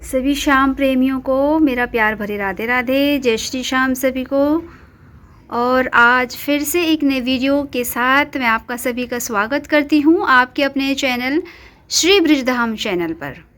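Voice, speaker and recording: A woman with a high voice, a little hoarse from a cold, hosts her devotional channel and talks earnestly to her viewers. She asks pointed questions and speaks straight to the people watching. She records on a phone at her home shrine. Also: a little slow, fairly bright tone. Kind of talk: casual devotional talk to viewers.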